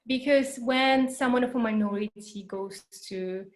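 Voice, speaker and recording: A woman's voice: a little high, measured pace. A woman who grew up in New Zealand, giving an online educational talk in an earnest way, with many fillers and repeated words. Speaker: workshop presenter. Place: home, Berlin.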